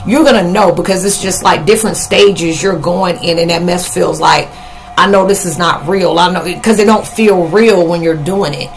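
A middle-aged American woman talking casually to camera in a rambling, conversational monologue with halting asides. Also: fairly low pitch, poor audio. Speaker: spiritual vlogger. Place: living room, indoors.

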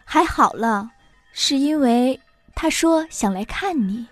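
A woman's voice: high voice, lively intonation, takes it slowly, dry recording, soft background music, heavily acted. An actress in an audio drama playing a soothing, pleading young woman, the peacemaking elder sister.

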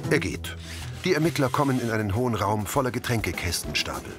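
A man's voice: medium pitch, sing-song, quick pace, over loud music. A man reading scripted audio description narration in the present tense.

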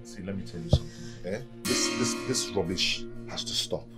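A man's voice: deep voice, moderate pace, close to an everyday voice. An actor with a Nigerian accent playing a stubborn, proud young male servant, protesting and repeating himself. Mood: indignant. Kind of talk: film drama acting. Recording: music behind the voice.

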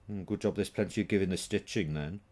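A man's voice: low voice, fast, darkish tone. A man voicing a practical, teasing witch woman in a storybook, with a blunt, wry, no-nonsense manner. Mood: brisk. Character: brisk, practical, teasing witch woman, sharp-tongued friend.

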